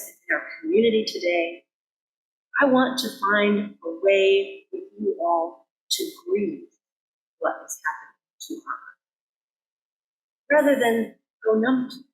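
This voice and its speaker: A woman's voice: a little high, gravelly, a bit bright. Woman preaching in an echoey sanctuary. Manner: earnest, measured, deliberate phrasing with pauses. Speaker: minister reading a sermon. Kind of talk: scripted sermon reading. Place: church sanctuary, indoors. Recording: roomy echo of a sanctuary.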